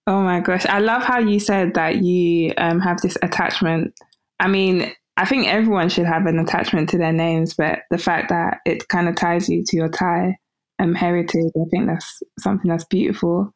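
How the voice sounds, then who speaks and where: A woman's voice: fairly low pitch, steady tone, normal speed. A woman interviewing a guest, asking curious questions in a casual way with some fillers.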